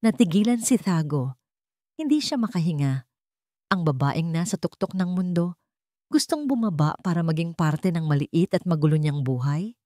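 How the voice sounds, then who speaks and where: Lower-voiced woman narrating a romance. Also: animated, moderate pace, warm tone, no room sound, studio quality. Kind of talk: scripted romance story narration.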